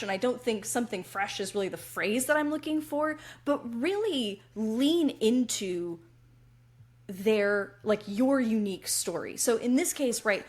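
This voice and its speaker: A woman podcast co-host with a slightly froggy voice, explaining writing craft conversationally and checking in as she goes. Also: a little high.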